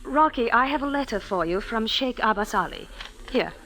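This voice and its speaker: Poised society lady, old radio drama, formal, inviting, fairly high bright voice. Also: lively intonation, moderate pace. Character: poised, alluring female society blonde, polite and inviting. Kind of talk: 1940s-50s radio drama acting.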